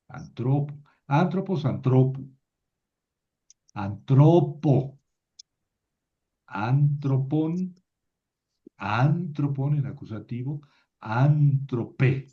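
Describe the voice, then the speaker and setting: A man teaching a class, slowly reciting word forms and thinking aloud as he explains. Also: medium pitch, expressive. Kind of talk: slow classroom lesson on grammar. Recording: dull lo-fi recording.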